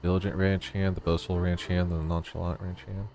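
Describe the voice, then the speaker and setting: A man's voice: low-pitched, level tone, a little fast, vocal fry, a little mellow. A man streams a video game and comments as he goes. His delivery is casual and off the cuff, like live chat with viewers. Faint music plays behind him. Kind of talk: live game playthrough, reading dialogue aloud and reacting casually.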